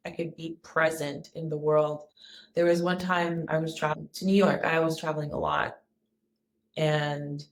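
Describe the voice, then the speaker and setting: A woman with a deep, low-pitched speaking voice talks straight to her viewers in a personal vlog. She sounds soft, calm and sincere, with the odd restart as she finds her words. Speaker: wellness vlogger. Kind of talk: casual personal vlog talk.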